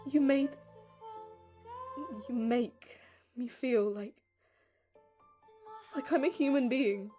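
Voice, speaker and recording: A woman's voice: on the high side, takes it slowly, long pauses, warm tone, faint music behind. A woman playing a modest, vulnerable young assistant who speaks hesitantly and adoringly in an audio drama. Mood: emotional, faltering. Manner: hesitant, self-effacing.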